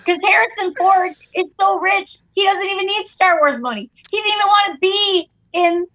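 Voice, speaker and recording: Bright, high-voiced comedian, punchy and emphatic. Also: female voice, moderate pace, no room sound, dull audio. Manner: emphatic, punchy comic delivery. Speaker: guest comedian. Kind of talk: casual chat.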